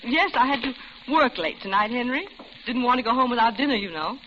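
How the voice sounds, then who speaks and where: Lonely widow, muffled old radio suspense. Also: female voice, on the high side, animated, brisk, a little hiss or hum. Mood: polite. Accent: American. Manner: confiding, genteel, dramatically paced narration. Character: lonely older widowed office worker recounting her doom. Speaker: narrator and lead character. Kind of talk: 1940s-50s American radio suspense acting. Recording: dull old radio recording, loud music behind.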